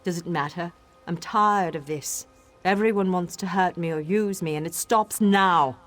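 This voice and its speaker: A woman's voice: on the low side, unhurried, soft background music, close to an everyday voice. A woman playing a troubled, emotional young elf girl with magic in a video game. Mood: bitter, angry. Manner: emotional, swinging from bitter anger to pleading.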